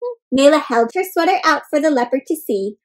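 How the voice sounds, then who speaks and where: A woman storyteller reading a picture book aloud to young children, animated and expressive, putting questions to them and explaining words. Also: high voice, fairly quick. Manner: dramatic gasps and exclamations.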